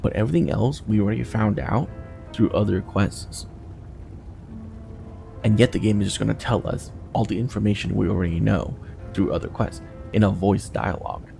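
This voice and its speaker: A man's voice: lowish voice, moderate pace, vocal fry, warm tone. A man hosting a gaming video, reading game dialogue aloud and adding casual commentary. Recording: faint game music behind.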